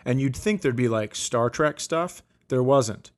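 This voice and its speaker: A man's voice: medium pitch, lively intonation, measured pace. Dry, wry man with mid-range voice. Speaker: comedian and podcast host. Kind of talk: casual comedic chat.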